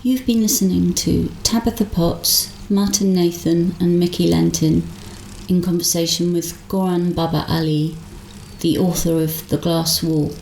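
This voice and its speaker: Creaky, lowish woman presenter with echo. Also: fairly even intonation, measured pace, darkish tone. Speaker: programme presenter. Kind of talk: scripted outro announcement. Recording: roomy echo, faint noise.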